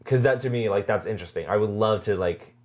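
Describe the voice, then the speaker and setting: This is a low-pitched voice in a casual review chat. The co-host speaks earnestly and haltingly, doubling back on words while working out each thought. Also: darkish tone. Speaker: podcast co-host. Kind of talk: casual chat reviewing a web horror series.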